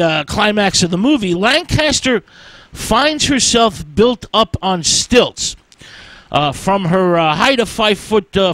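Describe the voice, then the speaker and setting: A man hosting a radio show, giving an informal history lecture in a halting, drawn-out way with emphatic stresses. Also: high voice, a bit bright, no echo, rough recording. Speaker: radio host.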